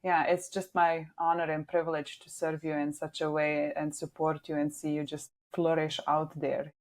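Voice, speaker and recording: A woman's voice: low voice, little pitch movement, normal speed. A young woman from Slovenia with a Slovenian accent, chatting casually as an interview guest and often saying "like.". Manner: chatty, frequent "like" fillers. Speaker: guest, social media manager.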